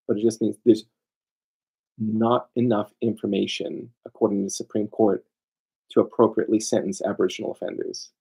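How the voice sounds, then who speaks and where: A man lectures informally in a mellow, raspy voice with plenty of fry. He speaks emphatically, drifting into asides and putting rhetorical questions to his listeners. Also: on the low side, poor audio. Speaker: lawyer presenting a seminar.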